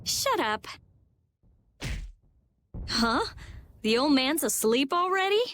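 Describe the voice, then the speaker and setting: A woman's voice: high voice, big pitch swings, slow, a bit bright, a little hiss or hum, exaggerated voice. Prickly, drawling teenage girl. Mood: grumpy. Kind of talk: video game voice acting.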